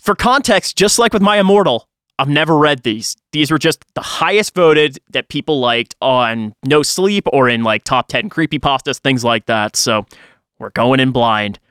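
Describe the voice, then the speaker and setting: A man's voice: medium pitch, expressive, moderate pace, a bit bright, clear, resonant tone, dry recording, studio quality. A man hosting a podcast in a casual, informal conversational style. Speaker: podcast host.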